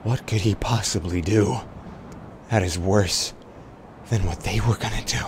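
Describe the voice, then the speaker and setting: A man's voice: fairly low pitch, a little slow, noisy background, close to an everyday voice. A man playing a wary, weary injured survivor in a tabletop roleplay, hesitant and muttering. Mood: disbelieving. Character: injured male apocalypse survivor, wary, weary, reluctantly grateful.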